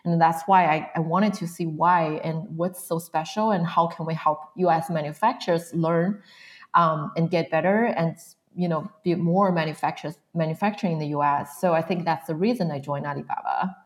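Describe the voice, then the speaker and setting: A woman from northern China answers interview questions in English, her voice sitting a little low. She is in her thirties and fills her sentences with frequent "um"s, laughing softly now and then. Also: fairly even intonation, normal speed. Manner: frequent "um" fillers, soft laughs. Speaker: guest.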